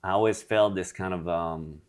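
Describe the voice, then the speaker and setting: Low-voiced french-raised guy, gym owner, chatting candidly, lots of ums. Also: steady tone, moderate pace, smooth. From France. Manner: reflective, frank, with frequent hesitations. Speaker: guest, gym founder and trainer. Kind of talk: casual interview conversation.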